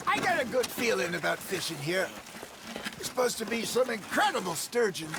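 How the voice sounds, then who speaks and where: An actor playing a genial, dry-witted old American outlaw in a cinematic video game. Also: male voice, sits high, very animated, normal speed, gravelly, heavy background noise, full character voice. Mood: keen, easygoing. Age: older. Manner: dry, teasing.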